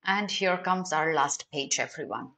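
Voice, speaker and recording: A woman's voice: low-pitched, unhurried, bright, forceful tone. A young woman with an Indian accent teaching a live online exam prep class, giving instructions directly to students.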